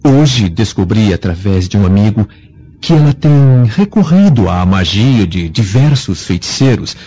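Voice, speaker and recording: An actor playing an earnest, flattering young freedman with formal courtesy and a scheming streak in a radio drama. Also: on the low side, a little slow, slightly rough, exaggerated voice. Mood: confiding. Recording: dull lo-fi radio drama recording.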